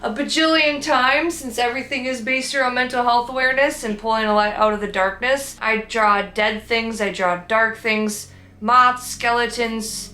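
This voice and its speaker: A woman's voice: a little high, fairly even intonation, moderate pace, sharp tone, roomy sound. A young woman with a Minnesotan accent, chatting casually and rambling about her own painting in a vlog, with lots of fillers and restarts. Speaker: artist vlogger.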